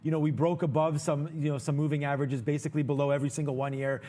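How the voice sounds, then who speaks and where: A man's voice: a little high, flat delivery, racing, mellow, slight background noise. A man co-hosting a live trading show, giving casual market commentary in an informal, chatty way straight to viewers.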